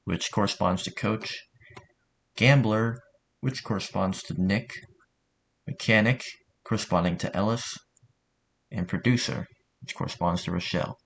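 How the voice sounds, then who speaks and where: A man with a fairly low voice walks through a tutorial step by step. He speaks informally and offhand, like someone casually showing a friend how it is done. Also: a little slow, gravelly, pauses often, a bit bright, slight background noise. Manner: informal, offhand, mildly sweary. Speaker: tutorial narrator.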